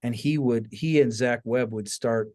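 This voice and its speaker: A man's voice: medium pitch, unhurried, a little mellow. A man hosting a podcast in casual conversation, telling stories with emphatic asides and frequent check-ins with his listener. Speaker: podcast host and author. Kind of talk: casual chat.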